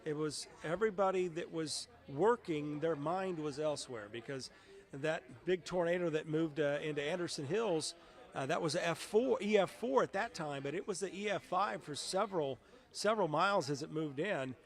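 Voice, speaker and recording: A man's voice: on the high side, normal speed. A middle-aged man, a television meteorologist, chatting in a casual interview and telling stories in a conversational way. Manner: conversational, anecdotal.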